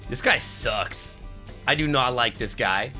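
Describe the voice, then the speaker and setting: A man's voice: mid-range voice, a little slow, bright, forceful tone, soft background music. A man livestreaming a game in a slangy, sweary, casual way as his voice tires. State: voice tiring, losing it. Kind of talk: casual reactions and reading game text aloud.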